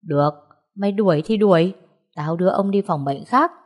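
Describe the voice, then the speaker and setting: A woman voicing a rude, grasping middle-aged aunt who wails and scolds loudly in an audio story. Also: lowish voice, normal speed, lightly acted. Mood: scornful. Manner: wailing, scolding, loud.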